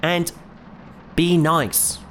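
A woman playing a gentle, enthusiastic scientist aboard a spaceship in a sci-fi audio drama. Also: lowish voice, steady tone, drawn-out words, slight background noise. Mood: wary, chiding.

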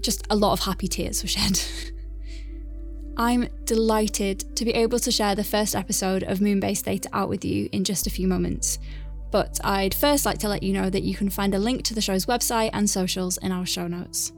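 A woman's voice: mid-range voice, steady tone, moderate pace. Woman podcast host over faint music. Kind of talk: scripted podcast recommendation intro.

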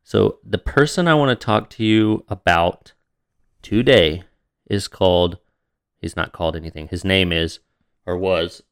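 A man's voice: low-pitched, unhurried, clean tone, no echo, studio quality. A tired, sniffly young man co-hosting a casual podcast, joking along with his co-host. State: sniffly, mouth breathing. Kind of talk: casual chat.

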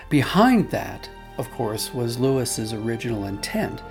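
A man's voice: mid-range voice, sing-song, a little slow, gravelly, soft background music. A man being interviewed as an audio drama producer, explaining conversationally and telling anecdotes with quoted dialogue.

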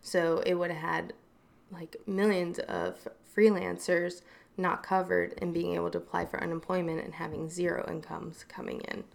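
Gravelly low-voiced woman, hair and makeup pro, chatting animated on a podcast. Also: moderate pace. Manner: animated storytelling, many fillers. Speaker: hairstylist and makeup artist guest. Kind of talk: casual podcast chat.

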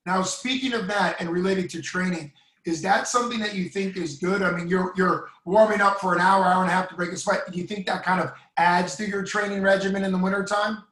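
Man, podcast host, high bright voice, echoey room, friendly long questions. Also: steady tone, fairly quick. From United States. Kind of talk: casual interview.

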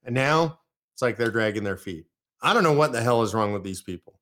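A man's voice: mid-range voice, expressive, a little fast, a bit bright. An American man from California hosting a live political commentary show, ranting in heated, punchy bursts of repetition.

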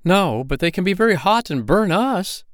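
A man voicing frightened little goblins with high, alarmed cries in a storybook reading. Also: sing-song, normal speed, no echo, clean studio sound.

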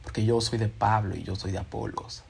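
A man's voice: on the low side, steady tone, a little fast, vocal fry, darkish tone, breathy edge. A man preaching a sermon, reading scripture and acting out quoted voices with expression.

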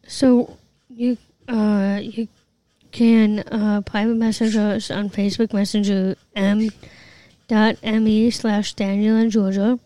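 A woman co-hosting a casual podcast, relaxed and giggly as she reads out contact details. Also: medium pitch, slow pace. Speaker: podcast co-host.

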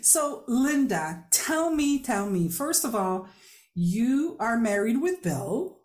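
A native French speaker from Quebec, this woman hosts a podcast with a Quebec French accent. Her voice sits fairly high, and she talks in a chatty, lively way, laughing often as she tells stories. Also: slow pace. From Quebec, Canada. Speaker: podcast host. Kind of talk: casual interview chat.

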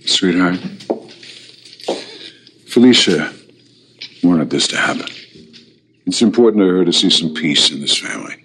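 A man's voice: low-pitched, lively intonation, a little slow, long pauses, fairly bright tone, a little hiss or hum, full character voice. An actor playing an affectionate father of grown children in a television soap opera. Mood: earnest, gentle. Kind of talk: soap opera drama acting.

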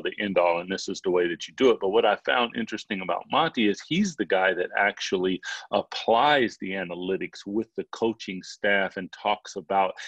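A man's voice: fairly low pitch, fairly bright tone, dry recording, dull audio. A man speaking as a regular analyst on a sports podcast, thinking aloud with hedges and repeated words. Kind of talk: casual sports analysis talk.

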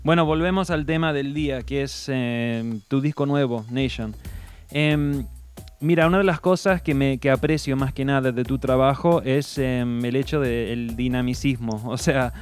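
Argentine man interviewing, smooth voice. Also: mid-range voice, normal speed, soft background music. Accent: Argentine Spanish. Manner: friendly, chuckles, fillers like "em". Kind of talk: casual interview.

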